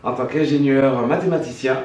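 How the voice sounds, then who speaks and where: A man from Haiti, a reserved, soft-spoken interview guest who pauses and restarts. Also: medium pitch, steady tone, a crowd in the background. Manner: soft-spoken and reserved, halting, laughs lightly. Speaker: guest, dancer and singer. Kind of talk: casual interview chat. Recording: echoey room, mic at a distance.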